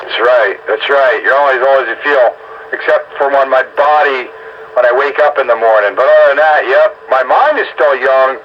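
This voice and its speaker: A man's voice: mid-range voice, fairly quick. Muffled man joking over a noisy radio. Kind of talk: casual joking group banter over radio.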